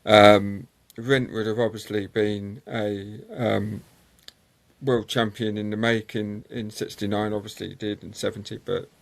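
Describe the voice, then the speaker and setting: A man, a guest on a motorsport show, telling long anecdotes with many ums and pauses. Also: fairly low pitch, flat delivery, vocal fry. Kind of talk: conversational interview storytelling.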